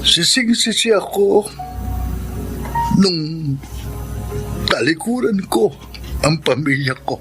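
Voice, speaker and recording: An actor playing a humble, remorseful old grandfather in a Filipino radio drama, speaking haltingly and plaintively. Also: a little high, expressive, poor audio. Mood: remorseful, pleading. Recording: music bed behind the voice.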